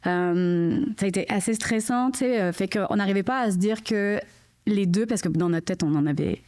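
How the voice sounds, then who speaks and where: A woman's voice: medium pitch, moderate pace, darkish tone. A woman with a French accent, a podcast guest telling her story in a chatty way, full of fillers. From France. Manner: chatty, filler-heavy, often says "tu sais". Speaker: podcast guest, mother of triplets. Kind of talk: casual interview storytelling.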